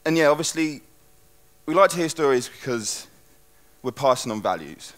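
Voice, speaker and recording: A man's voice: medium pitch, animated, moderate pace, fairly bright tone. Young man, chatty stage talk, rambling, restarts, headset mic. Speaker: conference speaker. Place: stage in a conference hall.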